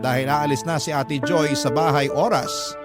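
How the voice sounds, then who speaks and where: A man's voice: on the high side, fairly even intonation, normal speed. A man narrating a Filipino radio drama as a scripted, first-person dramatic story. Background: prominent background music.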